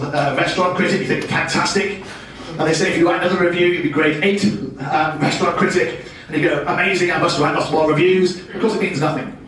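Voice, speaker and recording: A man's voice: a little high, a bit bright, slight background noise. British man presenting in an echoey hall. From United Kingdom. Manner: jokey, self-deprecating. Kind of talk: business seminar talk. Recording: very echoey room, distant mic.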